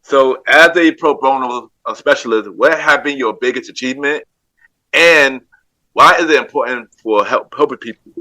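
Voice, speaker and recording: Bright-voiced male podcast interviewer, dry sound. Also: medium pitch, normal speed, no room sound, rough recording. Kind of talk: casual interview, supportive listening.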